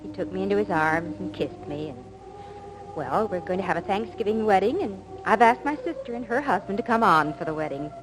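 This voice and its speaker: A woman's voice: medium pitch, lively intonation, a little fast, music in the background, natural voice, low-quality recording. An American woman acting a sentimental, emotional young heroine in a 1950s radio soap. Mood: tender, happy.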